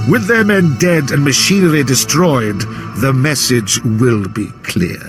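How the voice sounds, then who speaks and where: An actor playing a pompous, contemptuous Imperial military officer in a video game cutscene. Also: male voice, mid-range voice, close to an everyday voice. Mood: stern, commanding. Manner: pompous, disdainful. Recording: orchestral game music behind. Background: game score.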